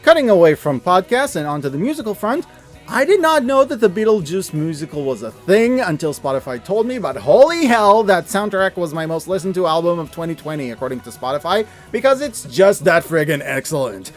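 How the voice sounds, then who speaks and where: A man's voice: sits high, sing-song, a little fast. A man narrating a scripted review as an enthusiastic host, with an effusive, emphatic, informal manner. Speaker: video reviewer. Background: music.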